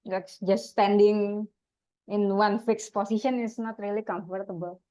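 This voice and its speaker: A woman's voice: medium pitch, fairly even intonation, normal speed, mellow. A woman, a student in a coaching chat, agreeing in short acknowledgements and asking brief questions. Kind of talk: casual listening and short questions. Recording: muffled, dull lo-fi audio.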